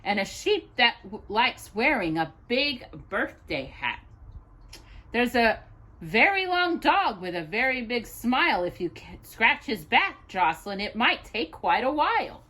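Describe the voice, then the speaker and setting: Woman, children's storytime reader, sing-song rhymes, unhurried pace, highish pitch, expressive delivery. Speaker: storytime host reading aloud. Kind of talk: children's picture book read-aloud of rhyming poems.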